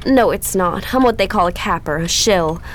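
A woman's voice: fairly low pitch, animated, normal speed, slight background noise, natural voice. An actress playing a frightened, sugary Southern married woman in a radio drama, with a folksy, hesitant way of talking. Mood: ashamed. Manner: fluttery, folksy, hesitant stammer. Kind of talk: old-time radio drama acting.

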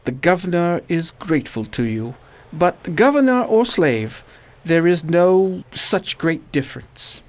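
A man's voice: a little high, big pitch swings, measured pace, darkish tone. A man playing a sick nobleman in a radio drama, his voice weak and pained. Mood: weak, weary. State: weak, in pain. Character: sick, suffering man of rank, pleading then weary.

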